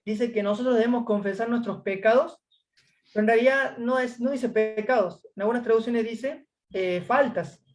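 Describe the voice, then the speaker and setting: A man's voice: very high voice, moderate pace. Man, Argentine accent, from Córdoba, interview guest, hesitant, lots of fillers. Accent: Argentine Spanish. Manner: hesitant, many fillers, retells his story. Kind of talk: casual testimony interview.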